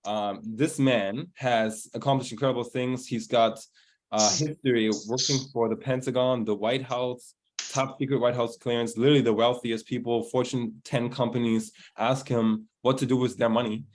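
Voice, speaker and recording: A man's voice: medium pitch, steady tone, normal speed, rough recording. A man hosting an online group coaching call, talking in a casual, upbeat way and hyping up his guests. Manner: upbeat, hyped-up introductions. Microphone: video call.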